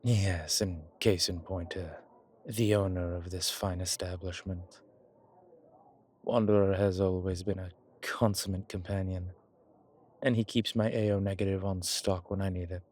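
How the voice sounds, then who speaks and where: A man playing a courteous, centuries-old vampire in an intimate audio roleplay, with refined, measured speech, hesitant pauses and formal, old-fashioned wording. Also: low-pitched, steady tone, a little mellow. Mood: measured, explaining.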